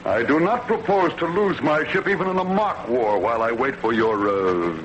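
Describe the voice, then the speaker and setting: A gruff older American admiral acted by a man with a formal, commanding manner and widely swinging intonation. It is old-time radio drama, dull-sounding and clearly noisy. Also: mid-range voice, lively intonation, moderate pace, natural voice. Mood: skeptical. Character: gruff, skeptical older male navy admiral.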